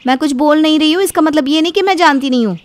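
A woman voicing a cheeky, outspoken younger daughter-in-law in an animated family story, with a sassy, complaining manner. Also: high voice, very fast, dry recording, pitched above the performer's own voice, close to an everyday voice.

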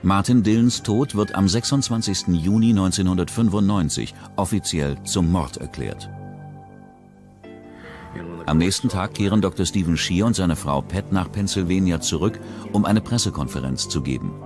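A man reading a scripted true-crime documentary narration in a measured, even way. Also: deep voice. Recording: music bed under the voice. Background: documentary music.

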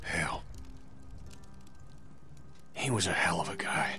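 A man's voice: sits low, lively intonation, slow, sharp tone, faint music behind, full character voice. An actor playing a middle-aged male survivor in a video game, plain-spoken and folksy, with a Southern US accent. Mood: wistful, tender. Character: gruff, warm-hearted middle-aged male survivor, grieving but hopeful. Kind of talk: naturalistic video game voice acting.

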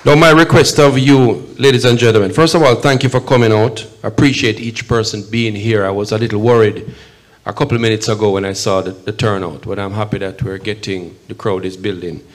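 A middle-aged man with a Jamaican accent giving a measured, emphatic public speech at a podium microphone in an event hall. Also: on the low side, steady tone. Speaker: consul general, guest speaker at podium. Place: event hall, at a podium before a seated audience.

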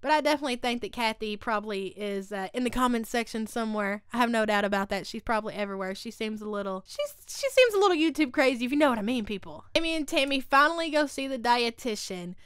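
A woman's voice: a little high, animated, fast, few pauses, a bit bright, clean tone, no echo, studio quality. A woman, recapping a TV show in a casual, gossipy way on her channel. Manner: chatty and gossipy, lots of "like" and "y'all". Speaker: YouTube recap host. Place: home studio. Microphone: desk mic beside her.

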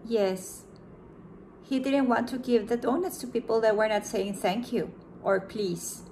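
Slow, high-voiced woman teacher reading aloud. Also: a little hiss or hum. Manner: slow, expressive storybook reading with gasps and questions to kids. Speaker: pre-kindergarten teacher. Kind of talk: reading a picture book aloud to children. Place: room at home with window blinds.

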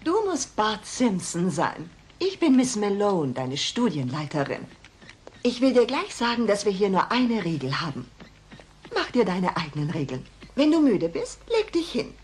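A woman playing an easygoing, permissive female school director with a husky voice in a dubbed cartoon audio play. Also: mid-range voice, big pitch swings, a little slow, darkish tone, a little hiss or hum. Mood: welcoming, easygoing. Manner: permissive, instructing.

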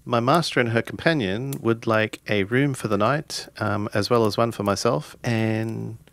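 A man's voice: lowish voice, moderate pace, a bit bright. A man playing a polite, dutiful samurai retainer in a tabletop game, speaking formally and courteously.

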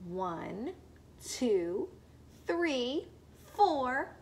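A young woman reads aloud like a teacher of small children, in a high, very animated voice. She speaks slowly and puts questions to the listeners. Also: gravelly, rough recording. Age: young adult.

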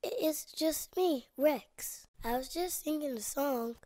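A woman voicing a polite, timid young boy in a children's cartoon story, with a soft, simple delivery. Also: high-pitched, slow, a crowd in the background. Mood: timid, pleading.

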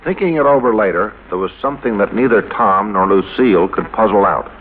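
Low-voiced man narrating old radio mystery. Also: lively intonation, a little fast. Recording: muffled old radio recording with music.

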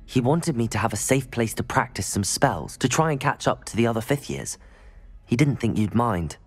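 Polite, slightly husky young student. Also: male voice, mid-range voice, lively intonation. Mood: careful, apologetic. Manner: measured, courteous, diplomatic. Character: polite, persuasive teenage male wizard student. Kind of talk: video game cutscene acting.

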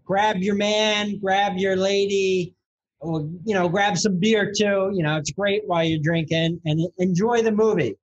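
A man's voice: high voice, steady tone, measured pace, lo-fi sound. A man chatting as a podcast guest over a video call, enthusiastic and promotional. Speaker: film director, guest. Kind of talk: casual chat.

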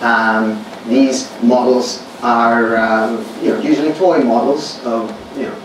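A man from Greece with a Greek accent, giving a thoughtful academic lecture with many pauses and fillers, recorded in a large lecture hall from a distant mic. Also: medium pitch, steady tone. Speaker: workshop speaker. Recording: echoey hall recorded from far off, noisy.

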